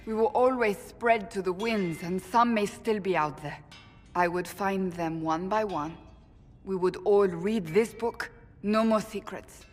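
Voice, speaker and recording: An actress playing a stern, devout woman warrior in a video game cutscene, speaking gravely and formally. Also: mid-range voice, close to an everyday voice. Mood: earnest resolve. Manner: grave, formal, deliberate phrasing. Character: stern, devout woman warrior of a holy order, troubled and earnest. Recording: reverberant hall, faint background noise.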